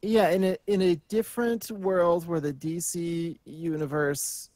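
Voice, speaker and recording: A man's voice: a little high, steady tone, unhurried, a little mellow, dry recording. Hesitant male panelist, close dry sound. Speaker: panelist on a movie news talk show. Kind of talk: casual chat.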